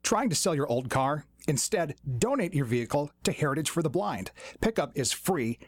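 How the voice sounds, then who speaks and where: Male announcer for a radio spot, scripted delivery, rather high pitch, very varied intonation. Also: big pitch swings, moderate pace, faint music behind. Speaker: advert announcer. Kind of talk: scripted radio charity appeal.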